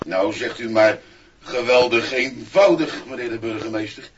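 An actor playing a pompous, blustering, slow-witted village policeman in a 1960s comic radio play, officious in manner. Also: medium pitch, close to an everyday voice. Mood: sardonic. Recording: muffled, noisy 1960s vinyl single.

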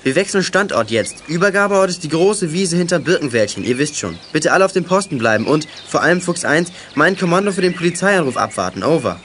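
A man's voice: a little high, very animated, a little fast, hardly any pauses, a bit bright, a little hiss or hum. A commanding boy detective leader. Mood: commanding, focused. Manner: clipped radio-call commands. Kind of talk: 1980s German youth radio play acting.

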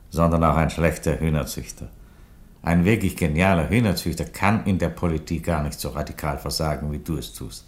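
An actor in a radio play playing a calm, reasonable Roman emperor, speaking with dry, philosophical courtesy. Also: male voice, low voice, close to an everyday voice. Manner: measured, philosophical, drily courteous. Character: calm, ironic, reasonable Roman emperor who loves chickens. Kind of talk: theatrical radio play dialogue.

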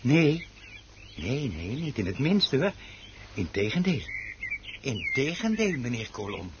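An actor playing an eerily polite, sinister plant grower in a radio drama, husky and given to repeating his words. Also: mid-range voice, sing-song, measured pace, noisy background. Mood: sly politeness. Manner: courteous, repeating himself. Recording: dull old radio recording.

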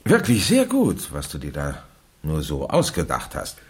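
A probing, authoritative investigator in a 1970s German radio drama. His voice is deep and husky, with a lively rise and fall. He speaks deliberately and persuasively. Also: heavily acted. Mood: kindly probing. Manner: deliberate, emphatic.

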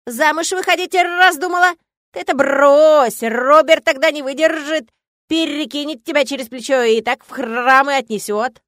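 A woman's voice: sits high, steady tone, moderate pace, a bit bright, dry recording, clean studio sound, pitched above the performer's own voice. A woman voicing a blunt, nosy male raven who scolds and teases.

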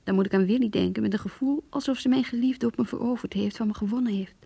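Radio drama: longing, bitter abandoned lover, hushed and dark-toned, very breathy, intimate. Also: female voice, fairly high pitch, fast, no echo. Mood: wistful, bitter, resigned. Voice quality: very breathy, often near whisper. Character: heartbroken mistress, wounded, longing, quietly bitter. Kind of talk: radio drama inner monologue, diary reading.

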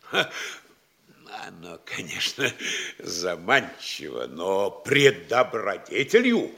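A self-righteous middle-aged town mayor in a classic Russian radio comedy. He fawns pompously and theatrically, speaking slowly in a bright, forceful voice with widely swinging pitch. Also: wildly swooping pitch, vocal fry, heavily acted. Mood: pompous, self-praising.

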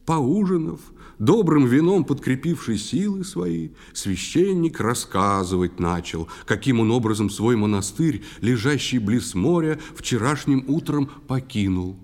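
A man giving expressive scripted verse narration for an audio play, with a long reverb. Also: medium pitch, a little slow, hardly any pauses. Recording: long reverberant hall sound.

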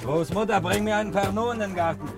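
An actor playing a bored, worldly, genial drinker with a laconic manner in an old radio drama. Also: male voice, sits high, quick pace, music in the background. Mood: breezy. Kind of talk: 1960s German radio drama acting.

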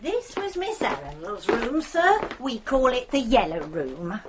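An actress playing a chatty, deferential, long-serving English maidservant in a radio drama, older and homely in manner. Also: female voice, fairly high pitch, sing-song, a little slow, background noise. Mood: confiding, gossipy. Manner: gossipy, respectful, homely.

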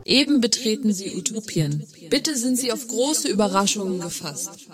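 An actress playing a formal, polite, impersonal official announcer at a border in a radio play. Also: female voice, medium pitch, expressive, moderate pace, natural voice.

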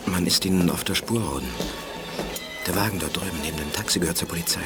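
An actor playing an earnest, polite and idealistic telepath in a 1980s German radio play, speaking haltingly. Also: male voice, deep voice. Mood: urgent, hushed. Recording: music behind studio radio drama.

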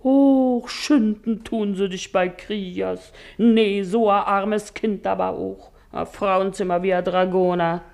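A woman's voice: mid-range voice, fairly even intonation, takes it slowly, creaky, few pauses, a little mellow. An actress playing a domineering working-class mother in a radio drama, nagging and sarcastic in Berlin-area dialect. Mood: mocking.

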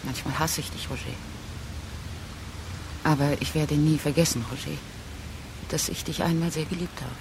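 Disenchanted woman, gravelly creak, weary and frank, radio drama, faint background noise. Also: low voice, steady tone, moderate pace, long pauses. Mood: reproachful, tender.

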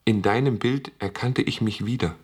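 A man's voice: lowish voice, normal speed, husky. An actor playing a grave, reverent man in a German radio play.

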